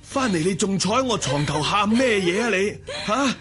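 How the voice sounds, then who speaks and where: A man's voice: high voice, lively intonation, normal speed. An actor playing a sick, bitter cuckolded husband in a radio drama, querulous and sighing as he groans. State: ailing. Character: sick, bitter, suspicious cuckolded husband, meek small man. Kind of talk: Cantonese radio drama acting. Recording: music behind.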